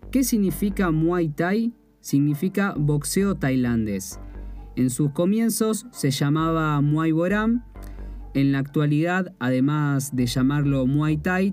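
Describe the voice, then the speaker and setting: A young man from Argentina with an Argentine Spanish accent, calmly explaining a martial art and restating points with asides. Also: on the high side. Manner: measured, explains terms with "o sea" asides. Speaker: martial arts practitioner presenting. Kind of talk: explanatory presentation. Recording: faint guitar music behind.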